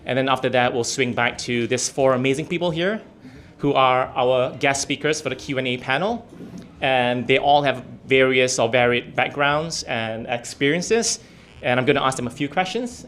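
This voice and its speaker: A man with a deep voice today, hosting an event in a hotel meeting room, chatty and quick to laugh. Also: fairly bright tone, slight background noise. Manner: chatty, laughs easily, runs the agenda. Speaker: event host.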